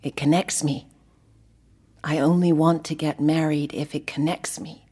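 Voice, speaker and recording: An actress playing a shy, earnest, devout singer in an intimate audio drama, speaking in a hesitant, soft-spoken, sincere way. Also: female voice, deep voice, level tone, unhurried, breathy edge. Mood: earnest, unsure. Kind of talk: intimate two-person audio drama acting.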